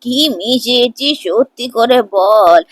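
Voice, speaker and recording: Scolding cartoon grandma played by a woman, female, very slow emphatic delivery, threatening, dry recording. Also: a little high, no echo. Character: fierce, protective grandmother confronting a cheat. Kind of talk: Bengali cartoon morality tale acting.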